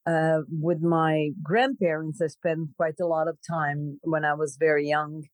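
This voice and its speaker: A mature woman from Croatia with a Croatian accent, telling her story as an interview guest in halting, emphatic phrases. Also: sits low, flat delivery, no echo. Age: middle-aged or older. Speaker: podcast guest.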